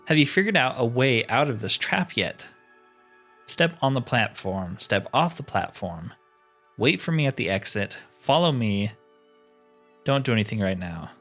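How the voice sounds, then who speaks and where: A man's voice: mid-range voice, very animated, a little slow, fairly bright tone, soft background music, exaggerated voice. Man voicing a blunt, wry trapped fighter. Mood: wry, businesslike. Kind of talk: reading game dialogue in character.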